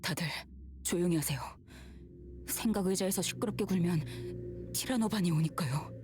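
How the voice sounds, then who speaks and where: An actress voicing an earnest, childlike game character. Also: female voice, lowish voice, racing, dark tone, soft background music, natural voice.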